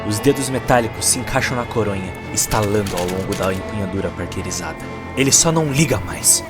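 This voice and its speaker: A man's voice: fairly low pitch, expressive, fairly quick. A man giving dramatic scripted narration of a cyberpunk story as its narrator. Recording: music behind the voice.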